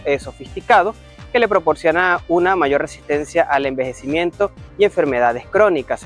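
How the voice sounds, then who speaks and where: A man hosting a show, reading a science segment and introducing guests in an upbeat presenter's manner. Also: fairly high pitch. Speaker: show host.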